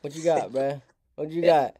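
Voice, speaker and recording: A man's voice: fairly high pitch, normal speed, warm tone, breathy edge. A young American man playfully trolling strangers on video chat, often talking like a mock police dispatcher. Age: young adult. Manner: mock-official police dispatcher talk, exclaiming. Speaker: streamer, prank host.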